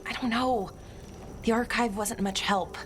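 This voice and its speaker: A woman's voice: on the high side, fairly even intonation, a little slow, a little hiss or hum, natural voice. A woman playing an earnest, scholarly young elf woman in grief, talking in a rambling, unsure way in a video game. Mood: wistful, uncertain. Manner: rambling, hesitant, self-correcting. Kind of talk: video game dialogue acting. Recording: clear game music behind the voice. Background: game music.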